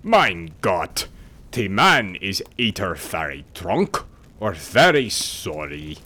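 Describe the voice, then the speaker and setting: A man voicing a gruff German-accented spirit. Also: sits low, very animated, slow, sharp tone, full character voice. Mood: coaxing. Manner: broken English, blustering, bossy. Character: gruff insolent male spirit, squat and quarrelsome. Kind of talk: audiobook character voice.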